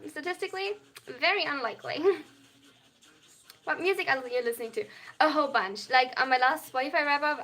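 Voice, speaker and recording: A young adult, reading viewer chat aloud on a livestream and answering at length. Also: female voice, high-pitched, sharp tone. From Germany. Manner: reads viewer chat aloud and answers, tends to over-explain. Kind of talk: casual live-stream chat.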